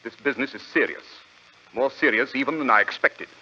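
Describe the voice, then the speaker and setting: An actor playing a brilliant, commanding, sardonic London detective with an English accent, in a crisp, theatrical 1940s radio drama style. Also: male voice, medium pitch, a little fast, pushed and bright, faint music behind. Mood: commanding, serious. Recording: dull, noisy vintage radio recording.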